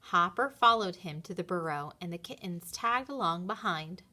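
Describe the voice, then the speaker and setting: Woman, storybook narrator, expressive read-aloud, bright tone, very lively pitch. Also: moderate pace.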